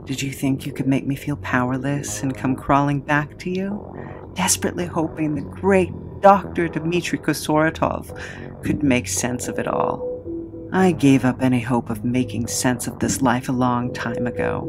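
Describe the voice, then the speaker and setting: Woman playing vengeful heroine in audio drama, really low voice, clear music behind, intense and deliberate. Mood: bitter defiance. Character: defiant, vengeful heroine with a wounded past.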